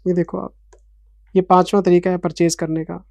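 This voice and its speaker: A man's voice: sits high, flat delivery, normal speed, gravelly, darkish tone, no room sound. A man in his forties, an accounting teacher giving a revision lecture in a passionate, scolding then explanatory manner, with an Indian English accent. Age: 40s.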